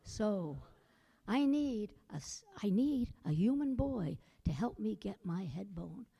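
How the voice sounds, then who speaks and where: A woman voicing a headless male skeleton ghost with a low, slow, drawn-out spooky voice, using puns and speaking solemnly. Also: animated, gravelly, warm tone, breathy edge, heavily acted. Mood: mournful.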